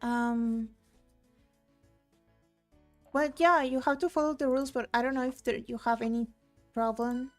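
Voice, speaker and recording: A woman's voice: a little high, steady tone, measured pace, long pauses. Chatty young woman from Argentina streaming. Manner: chatty, reads chat aloud, rambling with fillers. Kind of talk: casual live-stream chat while gaming. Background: game music.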